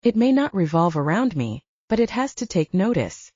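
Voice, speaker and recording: A woman narrator plays a seductive, self-assured male wolf shifter from a paranormal audiobook. He speaks formally and smoothly in a mellow tone, on a slightly dull, lo-fi recording. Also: mid-range voice, sing-song, moderate pace. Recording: dull lo-fi, roomy sound.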